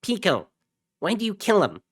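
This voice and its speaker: An excitable, sarcastic man, as a male narrator puts him on, blunt and exasperated. The voice jumps up high and loud for an audio story. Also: normal speed, husky, dry recording, clean studio sound, full character voice. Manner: mock-cheery, shouting, blunt.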